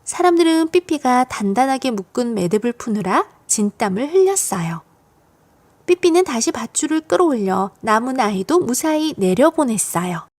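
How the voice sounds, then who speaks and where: High-pitched, lively woman narrating a storybook. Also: moderate pace. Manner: expressive, storytelling for young children. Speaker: audiobook narrator of children's stories.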